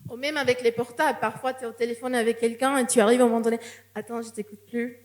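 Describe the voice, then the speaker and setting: A woman preaches in a church, and her voice sits a little high. She speaks in an earnest, personal tone and often restarts a phrase before going on. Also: fairly even intonation, quick pace. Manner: earnest, testimonial, with frequent restarts. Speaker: guest preacher. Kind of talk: sermon.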